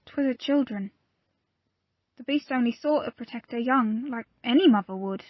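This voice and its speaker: A woman's voice: on the high side, moderate pace, dry recording, lo-fi sound, close to an everyday voice. A woman playing a loyal, plain-spoken pirate crew member in an audio play, telling her story earnestly in old seafaring dialect. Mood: earnest, sorrowful. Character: loyal, plain-spoken pirate crewwoman confiding a grave secret.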